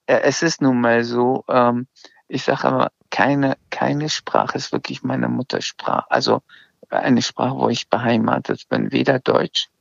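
Slow gravelly guy, middle-aged, lots of ums and restarts, dry kinda dull recording. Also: mid-range voice, fairly bright tone, dry recording. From Iran. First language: Azerbaijani. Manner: hesitant, many restarts and fillers. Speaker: interview guest. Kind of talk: casual conversation. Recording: slightly dull lo-fi sound.

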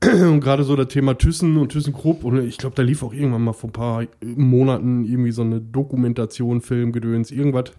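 A man's voice: medium pitch, steady tone, brisk, hardly any pauses, mellow. A man from the Ruhr area with a Ruhr German accent, chatting casually and joking with many fillers. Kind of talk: casual chat.

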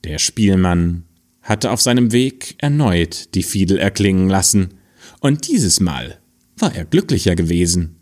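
Slow, expressive low male storyteller. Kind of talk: fairy tale reading.

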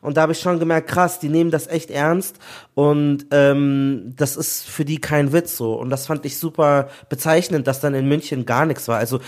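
A man from Nigeria telling stories in a relaxed conversation, breaking into laughter often. His voice sits a little higher than most men's, and his talk is animated and expressive. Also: moderate pace. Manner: lively storytelling, laughs often. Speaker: guest. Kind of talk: casual chat.

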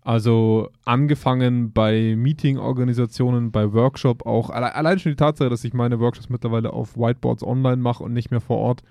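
Gravelly man making dry remarks. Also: mid-range voice, fairly even intonation, a little fast, hardly any pauses, warm tone, no room sound. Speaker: podcast co-host. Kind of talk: casual chat. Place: office.

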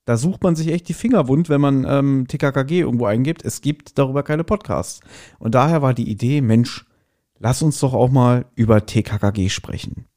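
A man's voice: mid-range voice, moderate pace, warm tone. A man hosting a podcast in a casual, chatty and self-ironic manner. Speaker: podcast host.

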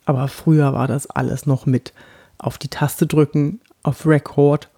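A woman from Franconia with a light Bavarian lilt, an actress and body-language coach giving a chatty podcast lesson on clear speech and slowly guiding mouth exercises. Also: low voice, level tone, warm tone. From Franconia, Germany. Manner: guides exercises slowly and deliberately; chatty and personal.

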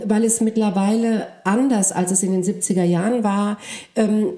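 A woman's voice: medium pitch, mellow. Thoughtful woman in an echoey room. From Germany. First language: Polish. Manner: thoughtful, searching, many hesitations. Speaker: interview guest. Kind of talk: reflective conversation.

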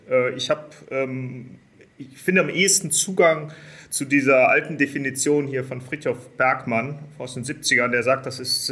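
A man of about fifty with a bright, forward voice at an average male pitch. He speaks as an executive guest in an interview, answering in a conversational way.

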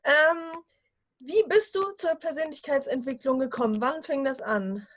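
A woman hosting an interview talk show, asking questions in a friendly, encouraging way with quick affirmations. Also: high-pitched. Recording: dull lo-fi sound with faint music.

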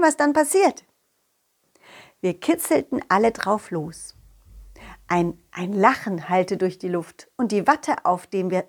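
A woman voicing a confident, loyal dog who tells his adventures directly to children in an animated, childlike way. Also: medium pitch, pitched below the performer's own voice. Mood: excited, then storytelling. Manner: childlike, animated, addresses young listeners directly. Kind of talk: children's audio story narration.

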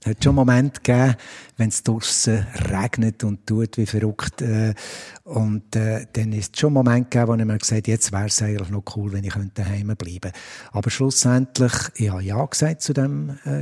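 A middle-aged man with a Swiss German accent, a stadium announcer, answering interview questions in a chatty way and pausing often with fillers. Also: fairly low pitch, mellow.

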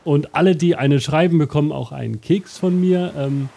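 A man hosting an interactive audio adventure as game master, with a rambling, absent-minded, deadpan delivery. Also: fairly high pitch, moderate pace, gravelly, breathy edge. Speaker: game master and show host.

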